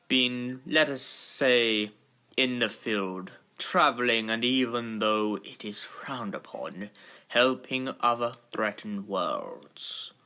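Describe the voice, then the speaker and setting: An actor playing a stern, formal Time Lord president in a sci-fi audio drama, speaking with pompous deliberation and weighty pauses. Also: male voice, mid-range voice, pushed and bright. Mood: grave.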